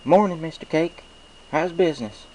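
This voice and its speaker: A plain-spoken cartoon farm mare as a man reads her, lifted in pitch. She is friendly and easygoing, with a folksy way of talking. The recording has faint noise. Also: moderate pace, darkish tone, natural voice, rough recording.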